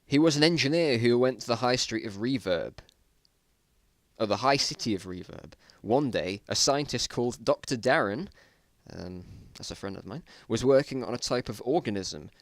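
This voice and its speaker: A man's voice: mid-range voice, lively intonation, moderate pace, no echo. A man giving an amateur dramatic reading of his own old story, with amused, self-mocking asides. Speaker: narrator.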